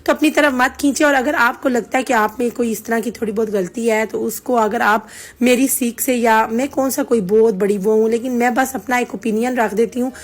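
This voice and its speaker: A hoarse woman with a sore throat, vlogging casually and earnestly giving her opinion straight to her viewers. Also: fairly high pitch, steady tone, a little fast. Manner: chatty and earnest, addressing viewers directly.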